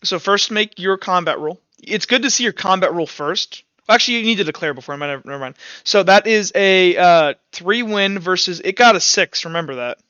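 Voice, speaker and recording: A man's voice: sits high, animated, normal speed, hardly any pauses, bright, forceful tone, clear, resonant tone, no echo, highs cut off. A man improvising narration as game master of a tabletop game, casually thinking aloud as he tallies dice rolls.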